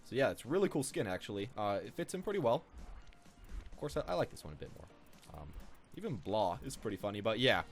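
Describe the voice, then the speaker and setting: A man hosts a casual gaming video with a very lively, swinging pitch. He rambles off the cuff and repeats his phrases, with faint music behind him. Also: a little fast. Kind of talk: casual off-the-cuff gameplay commentary.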